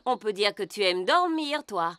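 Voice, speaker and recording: A woman voicing a brisk, cheery female animal worker in a children's cartoon, calling out in a singsong way. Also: medium pitch, sing-song, sharp tone, clear, resonant tone, no room sound, clean studio sound. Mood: brisk cheerful.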